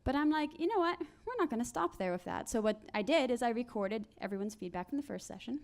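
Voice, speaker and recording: A woman's voice: fairly high pitch, fast. A woman speaking on a conference panel, telling work stories and acting out the people in them. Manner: animated storyteller acting out quoted people.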